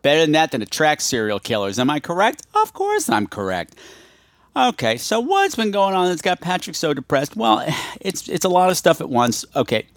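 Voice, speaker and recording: A man's voice: a little high, wildly swooping pitch, moderate pace, no echo, clean studio sound. A man hosting a podcast, chatting casually and theatrically to his listeners. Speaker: podcast host.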